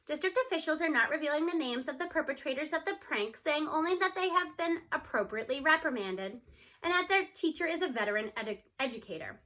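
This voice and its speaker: A woman reading a children's novel aloud in an expressive narrating style. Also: high-pitched, fast.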